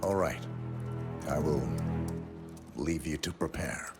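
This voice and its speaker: A man playing a stoic, guarded assassin in a video game, speaking tersely and gravely. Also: very deep voice, takes it slowly, music in the background, heavily acted. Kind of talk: video game cutscene acting.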